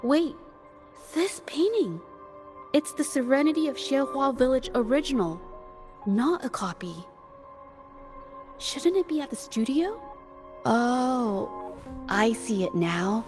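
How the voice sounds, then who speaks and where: High-voiced earnest young woman. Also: sing-song, slow, pauses often, darkish tone, soft background music, heavily acted. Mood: hesitant, troubled. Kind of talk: video game cutscene acting.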